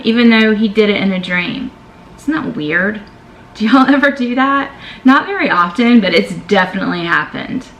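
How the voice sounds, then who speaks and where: Gravelly woman in an echoey room. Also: mid-range voice, a bit bright, a little hiss or hum. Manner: chatty, candid, reads each question aloud then answers. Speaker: vlogger. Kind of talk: casual Q&A vlog.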